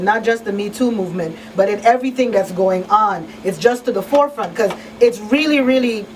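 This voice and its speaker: A woman on a talk show panel speaking casually and emphatically, outspoken, repeating phrases to drive points home. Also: mid-range voice. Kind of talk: casual, opinionated discussion. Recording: distant mic, faint room noise.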